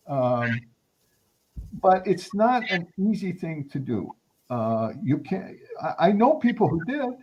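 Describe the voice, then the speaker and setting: Older male guest, reflective and halting, animated pitch, faint background hiss. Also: dull audio. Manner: thoughtful, hesitant, many restarts and fillers. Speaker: guest anthropologist. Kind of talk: reflective conversational interview answers.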